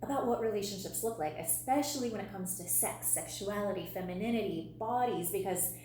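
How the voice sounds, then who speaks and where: A woman's voice: mid-range voice, a little fast, warm tone. A woman co-hosting a relationship podcast, talking conversationally and emphatically with rhetorical questions and lists. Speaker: podcast co-host and relationship coach. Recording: echoey room, faint hum.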